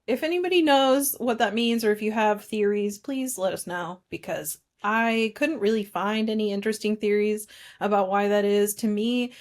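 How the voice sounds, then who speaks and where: A woman co-hosting a casual film discussion, recapping in an informal, conversational way with animated retelling. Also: medium pitch. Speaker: podcast co-host.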